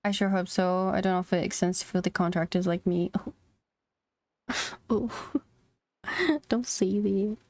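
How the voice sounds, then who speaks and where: A woman's voice: medium pitch, level tone, measured pace, pauses often, mellow, no room sound. A woman streaming a game and chatting casually to her viewers, with giggly, murmured reactions. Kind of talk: casual livestream chat while playing a game.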